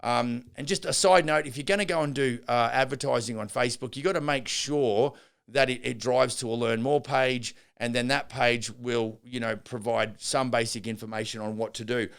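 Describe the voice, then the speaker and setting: A man's voice: mid-range voice, steady tone, normal speed, vocal fry, a bit bright, clean tone. A middle-aged man and builder hosting a business podcast, talking off the cuff and rambling through restarts and fillers. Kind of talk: unscripted business podcast monologue.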